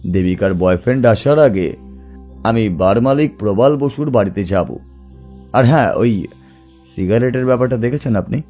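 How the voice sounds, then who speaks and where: Mellow, thoughtful male detective. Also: lowish voice, expressive, moderate pace, pauses often, faint music behind. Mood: reflective. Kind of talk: audio story dialogue acting.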